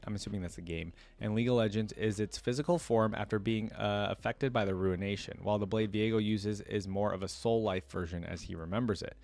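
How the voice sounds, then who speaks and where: Man, lowish voice, dry recording, conversational reactor, curious, thinks aloud. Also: a little mellow, no room sound. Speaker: reaction video host. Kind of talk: casual commentary, reading wiki text aloud and reacting.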